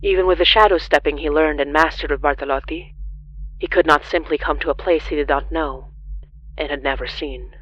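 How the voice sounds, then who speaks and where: Horror audio fiction narrator: grave, deliberate, medium pitch, pausing between phrases, on a slightly muffled recording. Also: a little hiss or hum. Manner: grave, measured delivery with deliberate pauses. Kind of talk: scripted dramatic horror narration.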